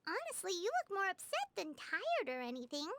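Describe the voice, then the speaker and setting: A woman voicing a small, chirpy female fairy companion in a video game, talking in a high, bouncy way. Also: huge pitch swings, fairly quick, heavy background noise, exaggerated voice. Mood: caring.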